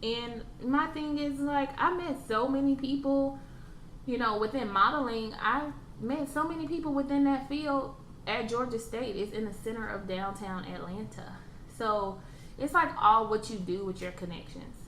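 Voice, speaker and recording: A woman's voice: a little high, normal speed, a bit bright. A young adult woman who is a podcast guest, speaking as a blunt, opinionated guest who swears casually. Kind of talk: casual chat. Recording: echoey room, faint ambient noise.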